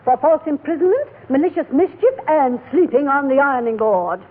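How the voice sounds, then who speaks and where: An actress playing a prim, haughty society matron in 1940s radio comedy, fluttery and affected. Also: female voice, high-pitched, expressive, fairly quick, background noise. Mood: prim indignation. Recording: tinny 1940s radio, faint audience.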